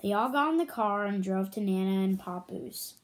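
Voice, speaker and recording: A woman reading a children's story aloud, pausing to add her own comments and questions for listeners. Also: mid-range voice.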